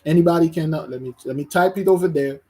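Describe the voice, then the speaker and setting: A man's voice: fairly high pitch, fast talker, warm tone, a little hiss or hum. Emphatic man preaching. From Haiti. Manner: emphatic, repeats phrases, stumbles and restarts. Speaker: religious teacher. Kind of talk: informal online preaching.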